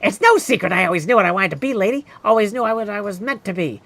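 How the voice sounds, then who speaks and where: Man putting on a brash, high-pitched siren diva. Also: animated, fast talker, gravelly, a bit bright, heavily acted. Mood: boastful, resentful. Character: boastful, resentful female siren singer from a video game.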